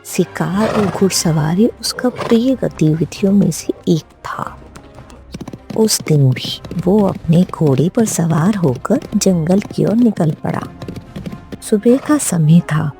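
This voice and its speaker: Slow, low-pitched woman storyteller. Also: lively intonation, vocal fry, mellow, soft background music. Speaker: story narrator. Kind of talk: scripted storytelling narration.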